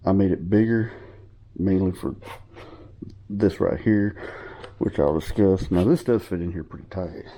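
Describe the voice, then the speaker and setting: A man's voice: low voice, measured pace, long pauses, dark tone. A man giving a casual, rambling show-and-tell of his equipment, sniffling a little from a cold and correcting himself as he goes. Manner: rambling, informal, with fillers and self-corrections. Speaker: hobbyist showing his gear.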